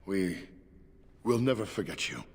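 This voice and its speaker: A man's voice: sits low, sing-song, measured pace, vocal fry, a little hiss or hum, full character voice. An actor voicing an earnest, grateful man in a fantasy game, sincere and full of wonder. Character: earnest, grateful man freed from a werewolf curse. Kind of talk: fantasy video game acting.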